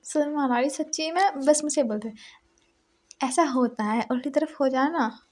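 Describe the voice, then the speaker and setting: High female voice, actress as shy young newlywed, coy, hesitant, drawn-out replies, casual conversational drama. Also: darkish tone, slight background noise. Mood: bashful, recounting. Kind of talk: casual phone chat, acted as candid.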